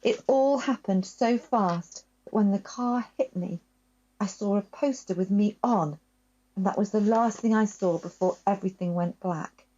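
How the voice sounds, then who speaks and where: A woman author reading a children's story aloud with expressive, varied delivery. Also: medium pitch, measured pace. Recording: dull lo-fi sound.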